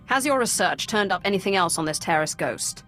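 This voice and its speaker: An actress voicing a commanding, cool female dark sorceress in a video game, formal and imperious. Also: lowish voice, expressive, brisk. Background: game music.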